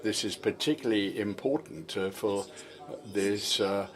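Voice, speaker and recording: A man with a low voice renders a formal report in a measured, steady way. He often pauses on fillers as he keeps pace with the speaker. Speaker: interpreter. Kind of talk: simultaneous interpreting of a report.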